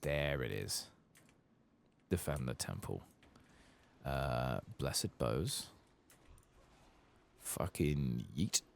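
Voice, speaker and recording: A man with an extremely low, creaky voice gives soft-spoken ASMR game commentary. He mutters casually and swears freely, with his pitch moving around in a lively way. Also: extremely slow, long pauses, a little hiss or hum. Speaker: streamer playing a role-playing video game.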